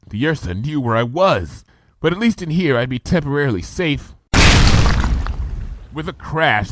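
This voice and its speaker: A man's voice: medium pitch, slight background noise. A man reading a comedy adventure story aloud with vivid first-person action narration. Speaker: fanfiction reader.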